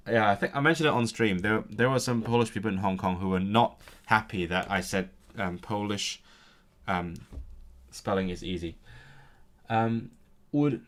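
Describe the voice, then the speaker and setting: A man's voice: lowish voice, fairly bright tone. A young man chatting casually on a live stream while he studies, breaking off to repeat practice words aloud. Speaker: language learning streamer.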